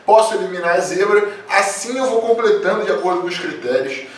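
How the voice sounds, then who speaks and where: A man's voice: sits high, lively intonation, a little fast, far from the mic. A young man teaching a memory workshop, talking viewers through worksheet exercises in a friendly, encouraging way. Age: young adult. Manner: friendly, encouraging, addresses viewers as "gente linda". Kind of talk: explaining worksheet exercises to a class. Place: small room indoors. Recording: very echoey room, voice off-mic.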